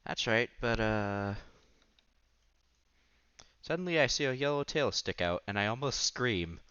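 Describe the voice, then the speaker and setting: Bright-voiced, animated man reading aloud. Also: medium pitch, unhurried, clean tone, no echo, dull audio. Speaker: streamer reading a visual novel aloud. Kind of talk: casual read-aloud with offhand commentary. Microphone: headset. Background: soft music.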